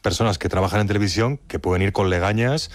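A man's voice: fairly low pitch, fast talker, dry recording. A man with a peninsular Spanish accent chatting casually and asking questions as a radio co-host.

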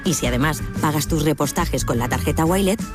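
Low-voiced woman announcer over music. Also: a little fast. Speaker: advertising announcer. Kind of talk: scripted commercial read. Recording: clear music bed behind.

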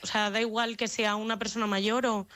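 A woman's voice: fairly high pitch, level tone, fairly quick, a bit bright. A young woman from Spain with a slightly Madrid-leaning Spanish accent, chatting as an interview guest with many fillers and restarts. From Spain, Extremadura. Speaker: guest, au pair abroad. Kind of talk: casual remote interview chat.

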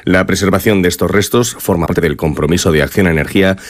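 A man with a very low voice reads a scripted report quickly, in a measured, formal tone. The sound is close and dry. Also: no echo. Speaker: narrator.

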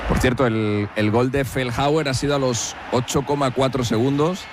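A man with a Spain accent gives unscripted sports punditry in a middling-pitched voice. He halts and repeats phrases as he works through an explanation. Clear noise sits behind him. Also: steady tone, moderate pace. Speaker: football pundit and statistician on a radio sports show. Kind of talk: unscripted studio sports talk.

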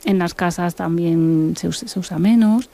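A woman from Buenos Aires, a musician and author, talking in a relaxed interview and circling back with repeated words as she thinks. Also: on the low side, unhurried, gravelly. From Argentina (Buenos Aires).

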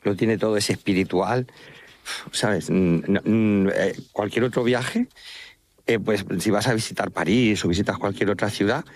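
A man's voice: fairly low pitch, normal speed. A middle-aged man from La Mancha with a Manchego accent, a travel agency founder talking loosely with many fillers while walking outdoors. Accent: Manchego Spanish. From Spain, La Mancha. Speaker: pilgrimage travel agency founder, phone guest. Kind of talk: casual radio interview. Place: walking outdoors along an estuary.

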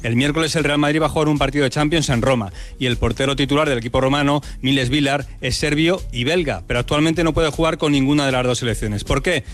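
A male sports reporter talks through his story at a fast pace, lively and conversational. Faint music plays behind him. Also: medium pitch. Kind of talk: radio sports segment.

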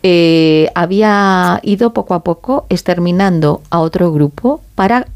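Female interviewer, gravelly creak, mellow timbre, casual questioning. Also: on the low side, a little slow.

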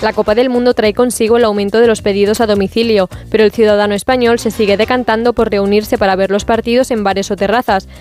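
A woman reporter reading a scripted news voice-over briskly. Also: medium pitch, steady tone, darkish tone, faint music behind.

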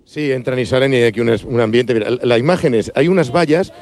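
A man reporting live from outside a team hotel for radio, giving an energetic running description. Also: mid-range voice, fast. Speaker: field reporter.

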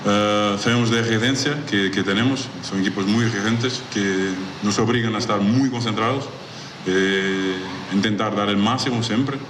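A man's voice: lowish voice, moderate pace. A young man from Lisbon with a Portuguese accent, a native Portuguese speaker giving unscripted player interview answers in a reverberant, noisy space. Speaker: athlete, team player. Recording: echoey reverberant hall, clear background noise.

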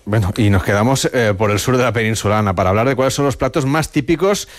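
A man's voice: medium pitch, fast. A man from Spain with a Spanish accent from there, hosting a talk show in a casual, conversational way. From Barcelona, Spain.

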